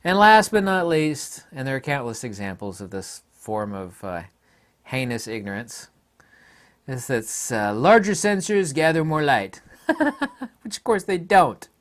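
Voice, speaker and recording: A man's voice: on the high side, very animated, a little slow. A man giving an opinionated photography vlog monologue, speaking in an emphatic, blunt and scornful way. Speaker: photography YouTuber.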